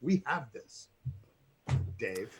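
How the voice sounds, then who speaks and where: A man from Cuba, a guest on a casual show, telling a story with excited bursts. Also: very slow, slight background noise, rough recording. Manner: excitable storyteller, bursts into loud high cries. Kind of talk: casual chat.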